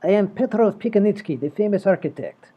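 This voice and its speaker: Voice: mid-range voice, lively intonation, fairly quick, husky. An actor playing Petrov, a pompous famous architect with a cold and a foreign accent, in a comedy podcast sketch. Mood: pompous, indignant. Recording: dull lo-fi audio.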